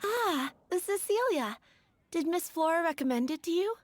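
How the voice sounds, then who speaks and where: A very high, dry-recorded young woman's voice plays a video game florist. She is courteous and earnest, with a gentle, chatty way of serving people. She seems like someone easily flustered. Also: expressive, moderate pace, no room sound. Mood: helpful, polite. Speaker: flower shop assistant. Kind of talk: video game character dialogue.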